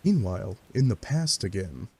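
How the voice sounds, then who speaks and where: A man's voice: on the low side, sing-song, normal speed. A man narrating a scripted second-person story with a wry, dramatic storytelling manner, over faint hiss.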